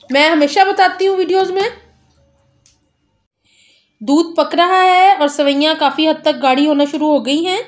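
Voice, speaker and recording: Cooking host: a high-pitched woman with an Urdu accent, gentle and polite, giving steady step-by-step recipe narration.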